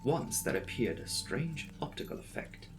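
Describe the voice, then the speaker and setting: A man's voice: mid-range voice, expressive, moderate pace, heavy background noise. A man giving a dramatic, suspenseful reading of a classic gothic novel as an audiobook narrator.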